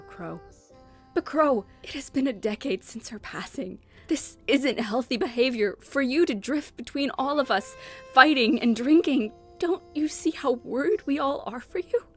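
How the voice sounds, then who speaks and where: A woman playing an earnest, anguished young heiress in love, pleading emotionally in a fan comic dub. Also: high voice.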